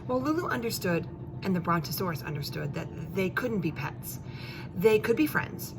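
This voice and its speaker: A woman teacher reading a children's story aloud, expressively, with drawn-out emphasis and questions and asides to her young pupils. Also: fairly low pitch, noisy background. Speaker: elementary school teacher.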